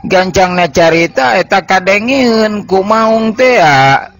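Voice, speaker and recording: An older man narrating an old folktale as the channel's storyteller, unhurried and sing-song. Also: sits high, animated, a bit bright, faint music behind.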